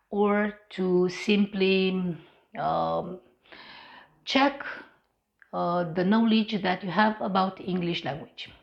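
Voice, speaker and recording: A woman's voice: mid-range voice, gravelly, dull audio. A woman with a Romanian accent, Romanian as her native language, hosting an English-learning channel in an unscripted vlog talk, hesitant with many fillers and restarts.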